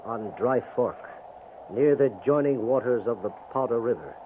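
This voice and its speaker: An actor playing a solemn messenger in a 1950s radio western, speaking plainly and with measured delivery. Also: male voice, mid-range voice, narrow, tinny audio, lightly acted. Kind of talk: 1950s radio drama acting. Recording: thin, faintly noisy 1950s radio recording.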